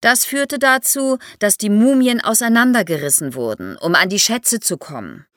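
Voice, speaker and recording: A woman's voice: medium pitch, lively intonation, moderate pace, fairly bright tone, no room sound, studio quality. A middle-aged woman speaking as an expert guest, explaining things in a podcast conversation.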